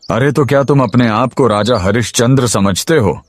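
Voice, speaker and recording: A man's voice: mid-range voice, normal speed, no echo, clean studio sound, soft background music, close to an everyday voice. A man voicing a stern, self-important king in a cartoon story, speaking formally and with authority. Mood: scolding. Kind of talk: Hindi cartoon moral-story voice acting.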